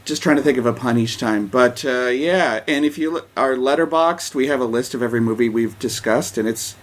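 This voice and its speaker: A man in casual podcast chat. He jokes easily and leans on "like" as he talks, with a voice of middling pitch. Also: fairly quick. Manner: joking, often says "like". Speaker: podcast co-host, guest.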